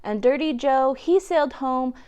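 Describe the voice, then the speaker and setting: A woman with a fairly high, very smooth and clear voice reads a rhyming children's picture book. Her delivery is expressive and dramatic, as a storytime reader. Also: normal speed, lo-fi sound.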